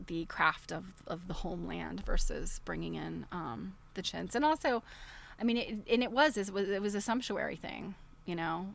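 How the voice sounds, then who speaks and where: A woman's voice: lowish voice, gravelly, fairly bright tone. Chatty woman reading aloud on a livestream. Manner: chatty, digressive, often breaking into chuckles. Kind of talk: casual livestream read-aloud with chatty commentary.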